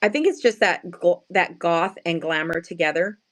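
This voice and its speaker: A woman with a slightly hoarse, just-woken morning voice, chatting casually and playfully as she sells handmade crafts on a livestream, often addressing viewers and poking fun at herself. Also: lowish voice, moderate pace. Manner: self-deprecating, playful slangy wording. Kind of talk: casual live sales chat with viewers.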